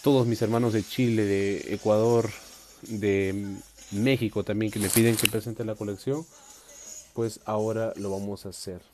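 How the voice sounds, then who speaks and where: Male streamer, low mellow voice, chatty collector host, faint room hiss. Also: little pitch movement, normal speed, soft background music. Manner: upbeat, chatty, reads viewer comments aloud. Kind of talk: live unboxing stream. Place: indoors at a table.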